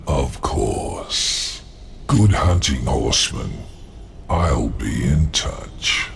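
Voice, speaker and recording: A man's voice: extremely low pitch, lively intonation, extremely slow, creaky, exaggerated voice. A man playing a sly, sardonic, menacing demon lord in a video game, with an insinuating manner. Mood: dark amusement. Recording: noisy game soundtrack behind voice.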